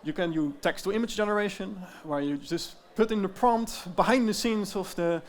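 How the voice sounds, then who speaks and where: A man's voice: sits high, moderate pace. Man with a Dutch accent presenting. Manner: explanatory, with frequent "uh" fillers. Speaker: tech founder giving a talk. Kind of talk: presentation talk.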